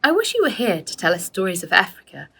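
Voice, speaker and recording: A young storybook girl, wistful and adoring, read by a woman narrator. Her gushing lines jump higher than the narration and swing expressively. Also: wildly swooping pitch, quick pace, gravelly, bright, forceful tone, husky, heavily acted. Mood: wistful, admiring.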